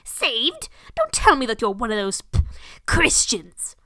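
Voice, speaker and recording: A woman's voice: a little high, wildly swooping pitch, a little slow, sharp tone, dry recording, exaggerated voice. A woman voicing a haughty, suspicious aunt in a story, snooty and mocking. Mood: scornful. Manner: snooty, mocking, sickly sweet at times.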